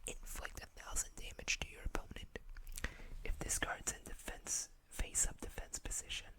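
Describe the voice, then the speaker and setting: An ASMR host whispering in an unhurried way, reading text aloud and adding small asides. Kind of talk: whispered ASMR card showcase.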